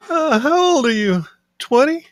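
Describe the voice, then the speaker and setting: An actor at a film table read playing a gruff, mocking spaceship assessor with a sardonic, dismissive manner. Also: male voice, very high-pitched, sharp tone, dry recording, clean studio sound, full character voice.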